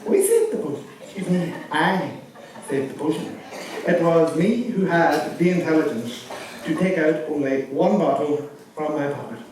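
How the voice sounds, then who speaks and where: A man's voice: a little high, lively intonation, normal speed, a little mellow, lightly acted. A man with a Donegal Irish accent playing a boastful, bickering pantomime dame, with broad, cheeky, indignant banter. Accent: Irish, County Donegal. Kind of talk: pantomime stage comedy. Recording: echoey theatre hall from far off, audience.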